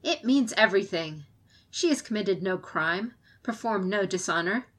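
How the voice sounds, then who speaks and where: A woman's voice: mid-range voice, lively intonation, moderate pace, fairly bright tone. A woman playing a fiery defiant advisor. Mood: impassioned. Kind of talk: audiobook character reading.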